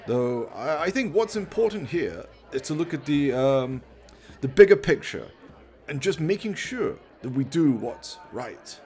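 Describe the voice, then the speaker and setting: A man's voice: fairly high pitch, very animated, measured pace, darkish tone, voice pushed up. A man voicing a vain, smarmy politician in his sixties in a radio play, speechifying in a hesitant, stammering, self-important way. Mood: evasive, oily.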